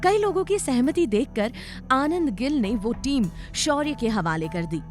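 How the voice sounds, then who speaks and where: A woman narrates a drama serial with dramatic flair. Her voice is fairly high and very animated, over a soft music bed. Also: measured pace. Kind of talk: dramatic scripted story narration.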